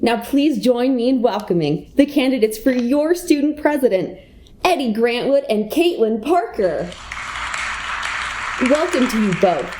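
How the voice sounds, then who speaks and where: A woman's voice: on the high side, lively intonation, measured pace, mellow, a little hiss or hum. A young American woman playing a prim schoolgirl who moderates an elementary school debate, formal and orderly, in a comedy radio play. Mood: formal, composed. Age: young adult.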